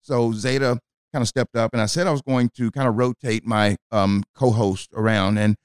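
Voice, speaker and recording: A man's voice: medium pitch, steady tone, moderate pace, clear, resonant tone, no room sound, studio quality. A man hosting a casual podcast chat, joking and rambling with fillers. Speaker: podcast host.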